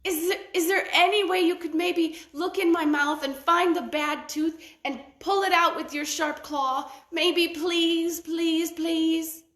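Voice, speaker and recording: A woman voicing a sly female crocodile with a toothache, begging in a whiny, drawn-out voice. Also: very high-pitched, steady tone, fairly bright tone, echoey room, voice pushed up, full character voice. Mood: pleading.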